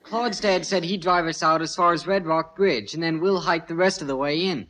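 An eager teenage son. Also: male voice, fairly high pitch, moderate pace, pushed and bright. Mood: pleading. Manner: pleading, wheedling. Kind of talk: 1950s radio sitcom acting. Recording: old radio broadcast recording.

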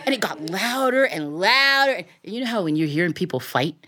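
A woman telling a folk tale live in an animated, theatrical way, drawing out key words and speaking straight to her listeners. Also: medium pitch, brisk, a crowd in the background. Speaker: storyteller. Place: recorded live in a studio.

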